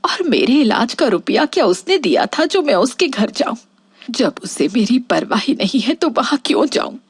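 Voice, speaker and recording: A woman playing a hurt, plain-spoken elderly mother in a Hindi cartoon story, with a husky, breathy voice. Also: fairly high pitch, sing-song, moderate pace, no room sound, studio quality, full character voice. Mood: hurt, bitter.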